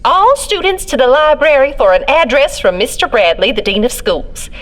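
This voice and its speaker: A school announcement in an audio drama, official, high-ish and singsong. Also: female voice, very animated, moderate pace. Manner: official, repetitive calling out. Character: female school announcer summoning students.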